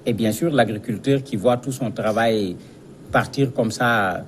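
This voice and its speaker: A man's voice: fairly low pitch, unhurried, a little hiss or hum. A man giving explanatory commentary as a guest analyst in a news interview, speaking didactically and repeating words as he searches for phrasing.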